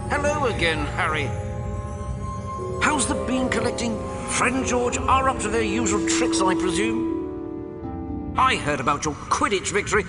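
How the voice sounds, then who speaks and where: An actor playing a chipper schoolboy wizard in a video game. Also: male voice, high voice, animated, unhurried, long pauses. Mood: chatty. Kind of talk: video game character acting. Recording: loud music behind the voice.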